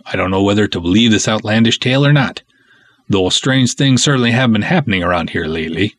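Comic serial sergeant, acted by a man: formal, pompous doubter, lively intonation, dry recording. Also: on the low side, quick pace, dry recording. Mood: skeptical. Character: stuffy, skeptical male sergeant, old friend of the hero.

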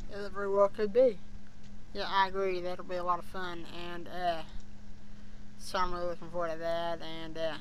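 A man's voice: sits high, completely flat delivery, moderate pace, slight background noise, rough recording. A young man co-hosting a casual review discussion, speaking in a hesitant, rambling way. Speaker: podcast co-host reviewing an audio drama.

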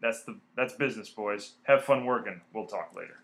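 A man's voice: lowish voice, moderate pace, a bit bright. A man playing a hard-nosed, condescending showbiz agent in a radio play. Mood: smug. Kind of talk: old-time radio play acting.

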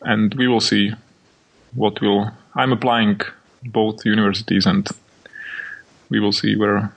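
A young man from Slovakia with a Slovak accent, a podcast guest answering questions in halting English and searching for words. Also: fairly low pitch, flat delivery, vocal fry, slightly rough, low-quality recording. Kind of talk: podcast interview.

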